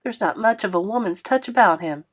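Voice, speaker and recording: A woman voicing a kind but scolding motherly woman who fusses over a child in a lively way. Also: mid-range voice, a little fast, natural voice. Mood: indignant. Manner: warm, animated, fussing.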